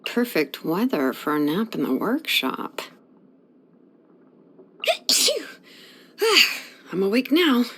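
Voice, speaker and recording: An actress playing a sleepy, laid-back young woman blacksmith in a fantasy video game, speaking in a casual, unhurried way. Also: mid-range voice, wildly swooping pitch, sharp tone, breathy edge, exaggerated voice. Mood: drowsy, easygoing. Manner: unhurried, casual, hesitant drawl with sighs. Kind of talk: English video game voice acting.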